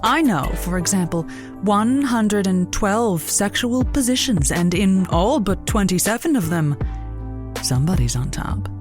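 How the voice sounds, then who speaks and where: An actress playing a sassy, flirtatious late-night radio host in a scripted audio comedy, with arch, teasing wordplay. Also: female voice, mid-range voice, animated, a little slow, soft background music, lightly acted. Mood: sultry, theatrical.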